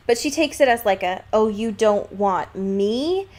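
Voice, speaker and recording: A woman co-hosting a podcast, chatting casually about a book and retelling it in an amused, halting way with acted-out quotes. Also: medium pitch, expressive, a little mellow. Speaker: podcast co-host. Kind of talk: casual book discussion chat.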